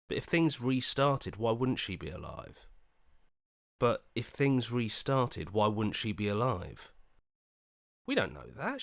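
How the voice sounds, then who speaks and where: Anxious young hero, swinging pitch. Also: male voice, no room sound, clean studio sound. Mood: cautious. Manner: hesitant, stammering, pleading. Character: anxious, earnest young male hero, an inner voice. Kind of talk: video game voice acting.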